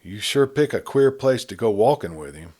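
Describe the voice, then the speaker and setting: A man's voice: medium pitch, animated, normal speed, darkish tone, voice pushed up, lightly acted. A man voicing a cold, half-wild young frontiersman in a Western story reading, speaking curtly and sternly. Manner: curt and stern.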